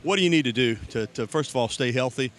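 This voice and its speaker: An American man interviews as a TV sports reporter in the field. He talks quickly in a mid-range voice, with faint outdoor ambience behind him. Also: mid-range voice, fairly bright tone, a little hiss or hum.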